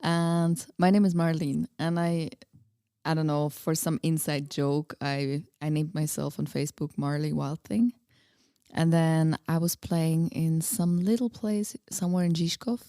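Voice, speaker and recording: A woman speaks in a low register with plenty of creak. Her delivery is informal and a bit hesitant, like a musician chatting in a casual interview. The recording is close and dry. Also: little pitch movement, a little slow, dark tone, dry recording. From Austria. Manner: laughs easily, hesitant and informal.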